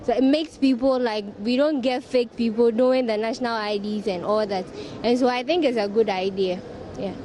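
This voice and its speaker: Higher, mellow-voiced Ghanaian woman. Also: moderate pace, slight background noise. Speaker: member of public in street interview. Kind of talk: casual vox pop. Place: outdoors on the street.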